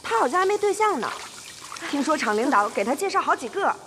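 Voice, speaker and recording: Inquisitive young factory gossip: high, lively, chatty, with long hall reverb and music. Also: female voice, quick pace, heavily acted. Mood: nosy. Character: nosy, chatty young woman factory worker. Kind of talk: screen comedy-drama acting. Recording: echoing hall with music behind.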